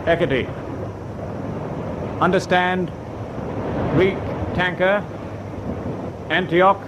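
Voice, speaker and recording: A man playing a naval signalman in a wartime radio drama, formally reading a message out haltingly, word by word. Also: sits high. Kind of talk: WWII radio drama acting. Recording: windy ship deck noise behind.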